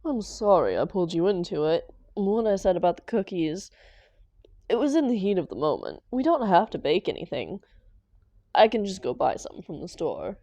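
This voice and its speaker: A woman playing a sardonic, hot-tempered supervillain with a soft spot for her partner in a comic roleplay audio drama. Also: fairly low pitch, very animated, normal speed, no echo. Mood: soft, apologetic, fond. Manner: sarcastic, dramatic, affectionate with partner.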